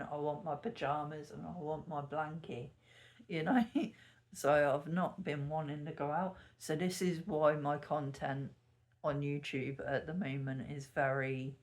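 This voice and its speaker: A YouTuber chatting casually to the camera at home early in the morning, meandering and self-correcting, a bit sniffly. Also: medium pitch. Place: sitting at home indoors. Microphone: camera mic.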